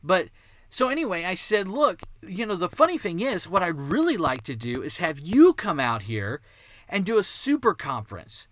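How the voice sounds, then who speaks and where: Texan guy hosting a podcast, kinda high voice, chatty and excited, goes off on tangents. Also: moderate pace, no room sound. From Texas. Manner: chatty, digressive, enthusiastic. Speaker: podcast host. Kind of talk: casual monologue.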